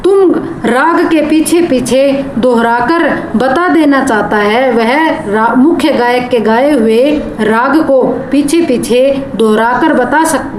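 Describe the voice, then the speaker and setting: A woman teacher explaining a poem line by line, stopping to rephrase and gloss words. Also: on the high side. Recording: echoey room with background noise.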